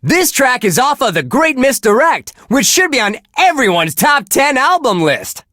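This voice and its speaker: A man's voice: very high-pitched, big pitch swings, normal speed, bright, forceful tone, dry recording, studio quality, full character voice. An actor playing a relentlessly cheerful male radio DJ at a death metal station, gushing and wholesome in his patter. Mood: chirpy, enthusiastic. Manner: gushing, sunny, wholesome delivery. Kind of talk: upbeat radio host patter.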